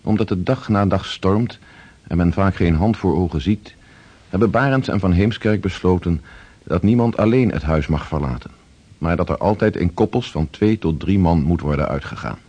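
An actor playing a grave expedition diarist, narrating in a measured, somber way in a radio play. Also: male voice, low-pitched, animated, darkish tone, husky, muffled recording. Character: grave male expedition diarist recounting a polar winter.

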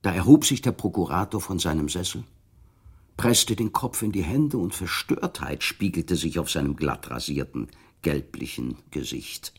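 Guy narrating a literary radio play, low voice, kinda husky. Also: lively intonation, normal speed.

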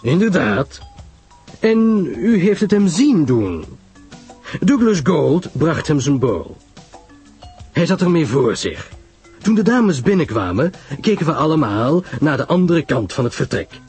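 A man voicing a shrewd, courteous, self-assured detective in an audiobook story, husky, deliberate and emphatic in explaining. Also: a little high, big pitch swings, a little mellow, faint music behind, pitched above the performer's own voice, full character voice. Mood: explanatory, assured.

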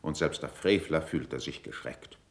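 A man's voice: extremely low pitch, unhurried, gravelly, exaggerated voice. An actor playing a stern, eloquent courtier accusing a rival before his prince, in formal, declamatory verse for a classical radio play. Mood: stern indignation. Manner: declamatory, formal, weighty pronouncements.

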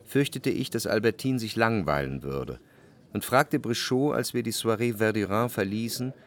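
An actor playing an introspective, uneasy gentleman who narrates his memories in a measured way in a literary radio drama. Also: on the low side, expressive. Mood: reflective.

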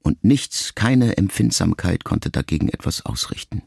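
A man reading a literary text aloud as an audiobook, in a measured, reflective way with long pauses. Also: low-pitched, lively intonation, gravelly, husky, no echo, studio quality. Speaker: audiobook reader. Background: soft music.

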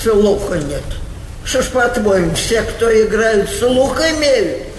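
Imperious old noblewoman, slow and echoing. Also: on the high side, big pitch swings, background noise. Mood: scolding. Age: older. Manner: commanding, haughty. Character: imperious old aristocratic lady, capricious and impatient. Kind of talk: Russian stage comedy acting. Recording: roomy theatre hall, music behind.

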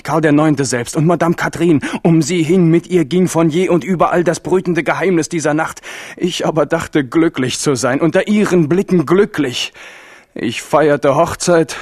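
A man's voice: medium pitch, slightly rough, no echo, studio quality, lightly acted. An actor playing a grieving, bitter young king in a German radio drama, brooding and theatrical.

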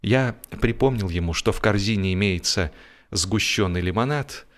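A man's voice: lowish voice, moderate pace, fairly bright tone. A man narrating humorous literary prose from a script, as an audiobook narrator.

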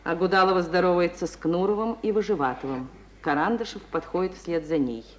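Низкий женский голос радиоведущей спектакля. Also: female voice, on the low side, fairly quick. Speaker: narrator of a radio play. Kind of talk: scripted narration of stage action, 1950s radio. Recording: old radio recording, faint hiss.

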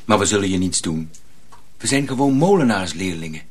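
An actor in a radio drama playing a good-natured, bumbling miller's apprentice who speaks haltingly. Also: male voice, low voice, animated. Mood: reassuring. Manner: halting, hesitant.

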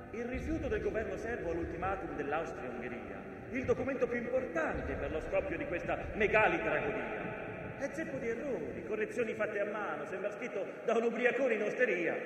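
In a long, distant stage reverb, an ancient mountain speaks. The voice is high and projected, full of theatrical declamation. It tells its story with dramatic weight and biting irony. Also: male voice. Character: a mountain, male, ancient theatrical witness of war, sardonic. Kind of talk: theatrical monologue. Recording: echoey theatre stage, distant mic, faint noise.

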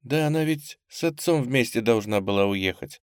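A man's voice: medium pitch, expressive, normal speed, clear, resonant tone, no echo, studio quality, natural voice. A man voicing an anxious, kindly old lady, a nervous headmistress in an audiobook. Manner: nervous, agitated. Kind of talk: audiobook character acting.

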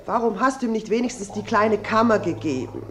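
An actress playing a sceptical, nagging middle-class wife in a German radio play, probing and reproachful. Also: female voice, medium pitch, lively intonation, a little fast, fairly bright tone, echoey room, slight background noise, natural voice. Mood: sceptical questioning.

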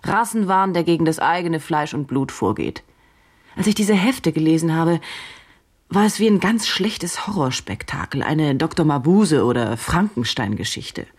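An actress playing a probing, reflective woman journalist in a radio drama, with a deliberate, brooding delivery. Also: sits low, animated, husky. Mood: self-questioning, confessional.

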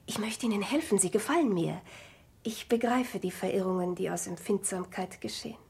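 An actress playing a gracious, condescending society countess with an affected, elegant delivery in a classic German radio play. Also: female voice, mid-range voice, a little fast, creaky, a little mellow, natural voice. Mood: coaxing.

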